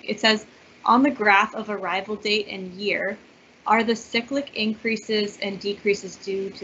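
A woman moderates questions on a video call, upbeat and quick to thank the speaker. Her voice comes through dull and lo-fi, with a faint hiss behind it. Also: mid-range voice, fairly bright tone.

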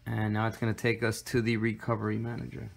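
A man's voice: lowish voice, fast talker, creaky. A man hosting a casual tech tutorial, explaining steps one by one with frequent fillers, recorded on a handheld phone camera in a room. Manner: relaxed, step-by-step with frequent fillers. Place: indoor room with desk and computer.

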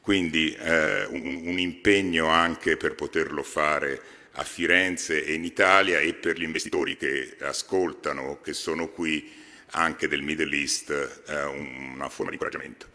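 A man in his fifties addresses a large hall from a podium microphone. His voice sits very low yet carries a bright, forceful edge, and it rings with a long reverb. He pauses and hesitates often as he speaks. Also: fairly even intonation. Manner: halting, frequent hesitations. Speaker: foundation president. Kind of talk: formal speech at a podium. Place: large exhibition hall stage.

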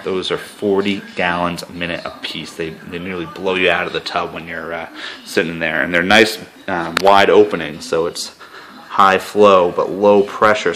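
A man's voice: sits low, pushed and bright, a little hiss or hum. A man with a New England accent giving a casual, filler-heavy sales walkthrough of a hot tub in a spa showroom, speaking from behind a handheld camera. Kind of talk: walkthrough product tour.

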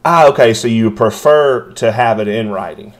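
A man with a medium-pitched voice talks on a phone call. He is polite and folksy as he makes his request. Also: normal speed. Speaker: citizen auditor making a records request by phone. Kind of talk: phone conversation.

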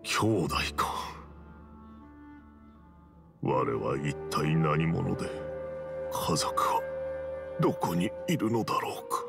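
An actor playing a dying warrior who has lost his memory, speaking gravely and haltingly in an archaic, solemn way for a game cutscene. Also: a bass voice, animated, full character voice. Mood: weary, wistful. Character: dying amnesiac yaksha warrior, solemn and confused. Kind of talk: dramatic game cutscene acting. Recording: music under the voice. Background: background music.

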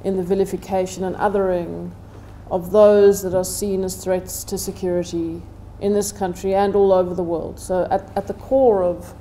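Brief: middle-aged woman, academic panelist, mellow relaxed voice, deliberate delivery, slight room noise. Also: lowish voice. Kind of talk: prepared seminar talk. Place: indoor seminar room, seated at table.

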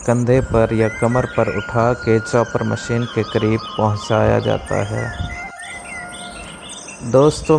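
A man talks slowly and evenly, his low voice rough with fry. He narrates in a measured, informative way. Loud music plays under the voice-over. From Pakistan. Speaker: vlogger. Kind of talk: voice-over narration of a village vlog.

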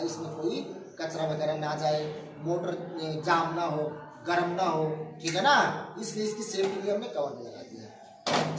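A man's voice: high voice, recorded from a distance. Man teaching a crowded workshop class. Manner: explains step by step, keeps asking if students understood. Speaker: workshop instructor. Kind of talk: hands-on technical lesson to students. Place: crowded indoor workshop, students standing around. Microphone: far phone camera. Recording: echoey room, voice from afar, crowd noise.

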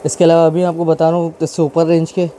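A man talks quickly as he shows garments in a clothing shop. His voice is very dark and mellow. He lists prices and colours in a flat, matter-of-fact way. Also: fairly high pitch, a little hiss or hum. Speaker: wholesale clothing seller. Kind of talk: product walkthrough for customers.

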